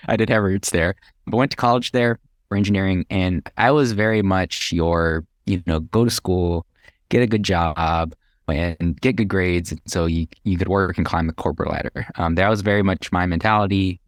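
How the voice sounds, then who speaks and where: A man's voice: low voice, steady tone, normal speed, no echo, studio quality. A middle-aged man with an American accent chatting casually as an interview guest, telling his own story in a conversational way. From Hawaii, United States. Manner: conversational, chuckles while talking. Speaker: podcast guest, real estate investor.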